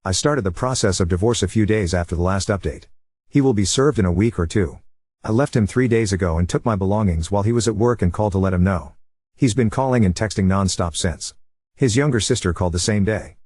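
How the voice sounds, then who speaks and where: Brisk low-voiced man, dry close narration. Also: darkish tone, no echo. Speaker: narrator reading a forum post. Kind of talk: reading a forum post aloud.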